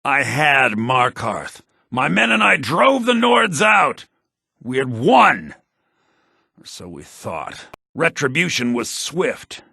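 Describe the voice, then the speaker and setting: An actor playing a bitter, vengeful rebel leader from a prison mine in a fantasy video game, raspy and menacing, declaiming his lines. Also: medium pitch, huge pitch swings, takes it slowly, no echo, clean studio sound, exaggerated voice. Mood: bitter, proud. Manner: menacing, declamatory, swinging to shouts.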